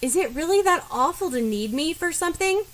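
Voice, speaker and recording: A woman's voice: very high-pitched, expressive, a little fast, voice pushed up. A woman voicing a feisty, persistent teenage girl in a story, questioning and pushy. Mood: pressing.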